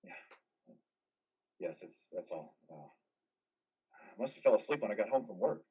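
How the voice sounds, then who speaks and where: A man's voice: on the low side, animated, moderate pace, dark tone, breathy edge, recorded from a distance, poor audio. A man in a reader's theater drama playing a troubled, haunted army veteran, earnest and hesitant. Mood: weary, evasive.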